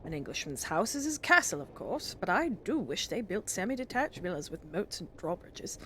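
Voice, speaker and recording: A woman voicing a cheerful, brisk, reassuring father in a storybook reading. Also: mid-range voice, big pitch swings, sharp tone, a little hiss or hum. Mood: mildly exasperated. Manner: brisk, jovial, encouraging. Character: cheerful, hearty, reassuring father of an Edwardian family.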